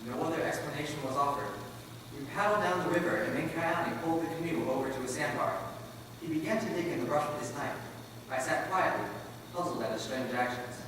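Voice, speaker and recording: A man's voice: on the high side, brisk, close to an everyday voice. A man playing the grieving grown son of a slain missionary, telling his story earnestly in a stage recital. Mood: reflective. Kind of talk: dramatic stage recital, reader's theater. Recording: very echoey hall from far off, faint noise.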